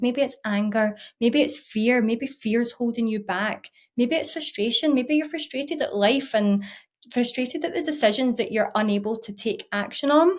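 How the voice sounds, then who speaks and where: A woman in her thirties with a Scottish accent, talking to camera as a mindset coach in an earnest, encouraging way. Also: on the high side. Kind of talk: casual talk to camera.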